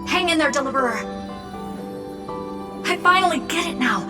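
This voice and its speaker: A woman's voice: high-pitched, expressive, normal speed. An actress playing an earnest, hopeful young girl companion in an English game dub, heard distantly over music.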